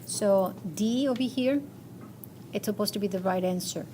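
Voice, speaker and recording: A woman's voice: mid-range voice, moderate pace, dark tone. A woman teacher explains math solutions to her class in a mid-range voice. She moves step by step, posing short questions as she goes. The classroom recording carries some faint background noise. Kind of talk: classroom lesson going over quiz answers.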